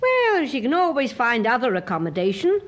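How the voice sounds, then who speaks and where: An actress playing a middle-aged, sharp and jealous nagging wife in a radio drama, querulous and scornful, with a British accent. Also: female voice, fairly high pitch, huge pitch swings, moderate pace, slight background noise.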